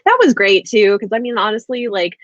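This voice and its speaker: A woman's voice: mid-range voice, animated, brisk, no echo, muffled recording. A woman in a casual, informal book chat, speaking in an agreeable, hedging way as host. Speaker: host of a book discussion livestream.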